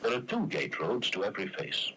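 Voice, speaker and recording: A man reads scripted narration for a 1970s instructional film in a measured, explanatory manner. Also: fairly low pitch, huge pitch swings, bright, forceful tone. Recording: noisy old film soundtrack.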